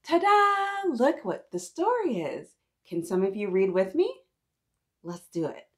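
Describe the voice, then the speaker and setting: Slow-paced woman reading to little kids. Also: medium pitch. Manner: animated, encouraging, talks directly to little listeners. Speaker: children's read-aloud story host. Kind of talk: interactive storytime reading aloud to young children.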